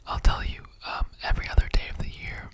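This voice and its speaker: Whispering ASMR skeleton character, rambling and casual. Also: fast talker. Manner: rambling, conversational, slangy. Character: casual, mildly offended skeleton interrogating humans on Halloween. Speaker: ASMR roleplay creator.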